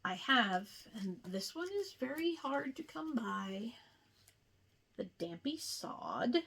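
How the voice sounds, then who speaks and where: A woman hosting a casual craft show-and-tell, chatting in an unhurried way with rambling asides. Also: a little high, sing-song, creaky, fairly bright tone, slightly rough. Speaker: cross-stitch video host.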